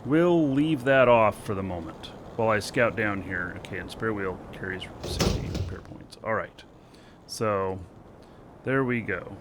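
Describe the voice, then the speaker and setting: A man streaming a video game, talking slowly to himself with many fillers as he works out what to do next. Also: fairly low pitch, background noise. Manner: slow, thinking aloud with many fillers. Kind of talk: casual gameplay commentary.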